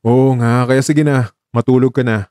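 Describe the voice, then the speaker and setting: A man's voice: mid-range voice, flat delivery, moderate pace, warm tone, dry recording, clean studio sound, lightly acted. An actor playing a guarded, weary young man in love in a romantic radio drama, with an understated, evasive casual manner. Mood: subdued, wistful.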